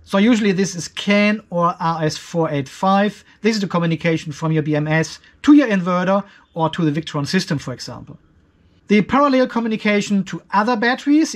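A man in his fifties giving a casual, step-by-step explainer to camera as a video host, with informal, emphatic phrasing. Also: sits high. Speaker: host of an off-grid solar and battery channel. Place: cluttered garage workshop.

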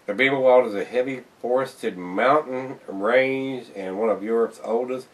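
A middle-aged man chats through a beer review at home in a relaxed, folksy way, often calling viewers guys. His voice sits fairly low. The camera mic is set back a bit, so he sounds slightly distant. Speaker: beer reviewer, show host. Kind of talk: casual beer review vlog. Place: home room with glass shelves, indoors. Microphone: camera on tripod across the table.

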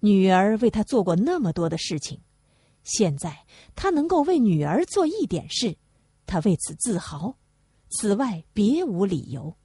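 A woman reads literary prose as an audiobook narrator. Her pitch moves expressively across each sentence, and her voice has a husky edge. The sound is dry, with little room to it. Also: sing-song, measured pace, warm tone, no echo.

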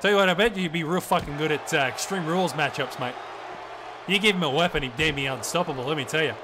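A man doing excited, profane play-by-play commentary, often throwing in "mate". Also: fairly high pitch, quick pace, crowd noise. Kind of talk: wrestling commentary on a video game match. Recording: noisy background.

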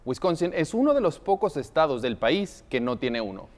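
A man's voice: a little high, big pitch swings, moderate pace, in a large hall. A man in his thirties reading scripted broadcast news as a television anchor. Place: TV news studio.

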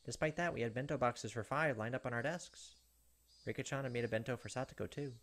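A man's voice: mid-range voice, fast talker, a bit bright. A man in his thirties hosting a gameplay video, expressively reading a visual novel's narration aloud.

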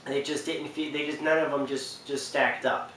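A young man talks off the cuff in a roomy space, some distance from the mic. His delivery is casual and halting, like a host presenting a list from his couch. There is a faint noise in the recording. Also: mid-range voice, level tone, fairly bright tone. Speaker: video host presenting a ranking. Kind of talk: casual unscripted talk to camera. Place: small indoor living room on a couch.

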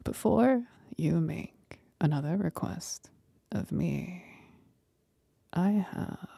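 Seductive demon for ASMR roleplay, played by a woman: husky breathy whisper, creaky low edge, manipulative and mocking. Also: lively intonation, dark tone, dry recording, full character voice. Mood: tempting. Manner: slow, breathy whispering with deliberate pauses.

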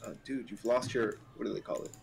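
A man's voice: mid-range voice, steady tone, fast talker, vocal fry, heavy background noise. A man chatting casually and playfully as a friend who has called in. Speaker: friend calling in. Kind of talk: casual chat.

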